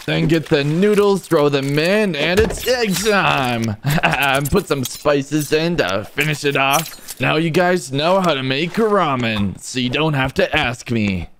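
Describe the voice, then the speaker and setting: Man voicing an eager, creaky young cartoon chef. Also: fairly high pitch, expressive, slow, few pauses, fairly bright tone, slight background noise, exaggerated voice. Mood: confident, instructive. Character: eager young male stick-figure chef, bossy and excitable. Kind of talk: cartoon fan voice-over.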